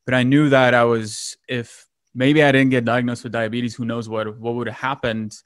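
A man from Lithuania in his late twenties talks through a long personal story in a loose, rambling way, full of fillers and restarts. His voice is mid-pitched. The sound is dry and close. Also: flat delivery, normal speed, smooth, no echo. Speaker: guest. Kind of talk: casual conversation.

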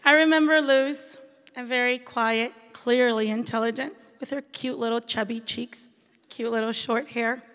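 Woman telling her story, kinda high voice, echoey hall sound. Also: a bit bright. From Venezuela. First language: Spanish. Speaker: bilingual teacher. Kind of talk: scripted personal storytelling. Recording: long hall reverb.

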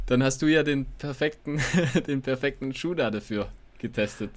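A man's voice: medium pitch, lively intonation, moderate pace. A man chatting casually as a podcast co-host, agreeable and colloquial. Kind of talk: casual chat.

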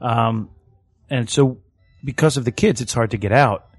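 Rambling, halting man on a studio mic. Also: mid-range voice, no room sound. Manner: rambling, halting with many restarts and fillers. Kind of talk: casual chat.

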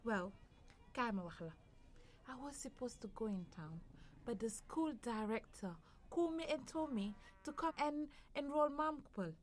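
A woman playing a middle-aged, devout and loving village mother in an audio drama, tender and expressive. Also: fairly high pitch, normal speed, lightly acted. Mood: matter-of-fact.

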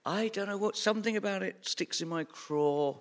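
A man playing a smug, deadpan evil-genius ruler. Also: high voice, moderate pace, pitched above the performer's own voice, natural voice. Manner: deadpan, rambling with stammered restarts and chuckles. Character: smug self-styled evil genius male world ruler. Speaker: comedian playing a new supreme commander of the world. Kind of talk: improvised comic interview in character. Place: theatre before a live audience.

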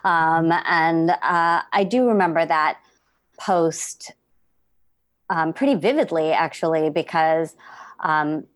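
A middle-aged woman, an actor and playwright, talking thoughtfully as a podcast guest with many pauses and restarts. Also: deep voice, no echo. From United States.

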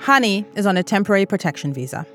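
A woman from East Africa narrating a scripted documentary as host, in a measured, serious manner. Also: mid-range voice, lively intonation. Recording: music bed behind narration. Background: music.

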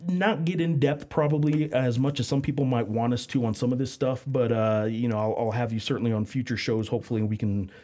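A man's voice: mid-range voice, steady tone, a little fast, hardly any pauses, dark tone. A man hosting a casual sports interview podcast, asking questions in a conversational, rambling way.

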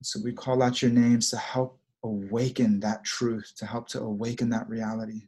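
Casting: husky man, soft-spoken spiritual guide, reflective and repetitive. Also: mid-range voice, level tone, normal speed. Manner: gentle, reflective, repeats phrases. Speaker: prayer leader. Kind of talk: spiritual chat and prayer.

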